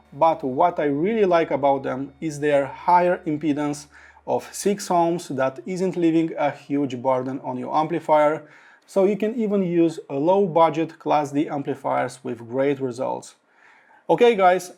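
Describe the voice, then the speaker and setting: A man's voice: medium pitch, gravelly, a little mellow. A man reviewing audio gear to camera, speaking enthusiastically and piling up descriptive words. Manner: enthusiastic, piles up adjectives. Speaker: product reviewer.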